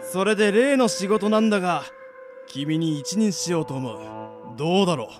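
A man voicing a middle-aged company manager who speaks slowly and pompously, in an amateur radio drama. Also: high voice, expressive, faint music behind. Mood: pleased.

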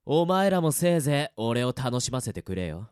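A man acting in an audio drama as a cocky veteran death-game player who teases his rivals in rough, casual speech. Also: medium pitch, sing-song, normal speed, a little mellow, no echo, clean studio sound, lightly acted. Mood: taunting.

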